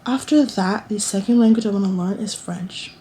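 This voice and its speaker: Rambling teen woman with a mellow voice. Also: mid-range voice, moderate pace, slight background noise. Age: late teens. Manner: rambling, chatty, many restarts and fillers. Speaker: vlogger. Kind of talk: casual chat to camera. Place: college dorm room.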